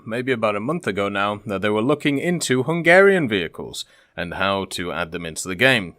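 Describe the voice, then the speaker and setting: A man with a fairly low voice talks through gaming news in a casual, rambling way. He sounds like a channel host sharing his opinions, recorded dry and close with hardly any room sound. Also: fairly bright tone, clean tone, dry recording. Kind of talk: casual video commentary, reading and discussing developer Q&A.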